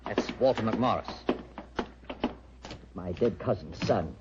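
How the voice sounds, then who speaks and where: A man's voice: on the low side, takes it slowly, noisy background. An actor playing an anxious, polite English nobleman, apologetic and easily agitated, in a 1940s radio drama style. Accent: British. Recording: muffled, echoing old radio recording.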